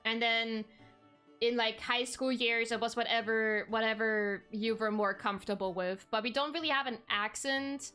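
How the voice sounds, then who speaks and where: A young woman, her voice pitched a little above average and smooth, chats loosely with her viewers from a home streaming setup, trailing off and correcting herself as she goes. Soft music plays faintly underneath. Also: steady tone, normal speed. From Germany. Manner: chatty, rambling, frequent ums and self-corrections. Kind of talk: casual chat with viewers.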